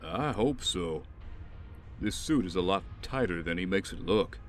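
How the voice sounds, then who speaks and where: A man playing a gruff, good-humored stand-in vigilante archer in an audio drama, with dry, bantering delivery. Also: deep voice, lively intonation, unhurried. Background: music.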